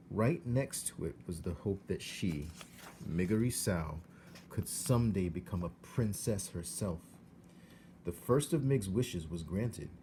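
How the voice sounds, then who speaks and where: A man narrating a storybook aloud in an expressive scripted reading. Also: low voice, slight background noise.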